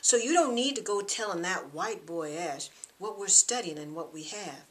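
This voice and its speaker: A woman's voice: on the low side, lively intonation, normal speed, natural voice. A woman voicing a cynical, distrustful young man in a novel, grave and cautionary. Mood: bitter.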